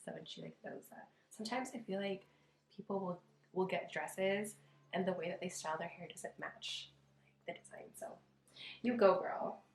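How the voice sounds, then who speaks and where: A woman with a slightly rough, hoarse voice giving casual, chatty reaction commentary as a wedding planner hosting a video. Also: on the low side, moderate pace. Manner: chatty, informal, thinking aloud with lots of "like". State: hoarse from losing voice. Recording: roomy, echoey home-video sound.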